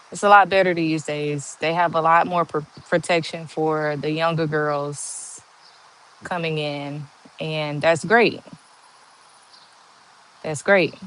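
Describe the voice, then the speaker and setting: A woman in her forties with a monotone voice, chatting slowly and candidly on a livestream and answering viewers' comments. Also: sits low, rough recording. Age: 40s. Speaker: livestream host. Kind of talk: casual live chat answering viewer comments.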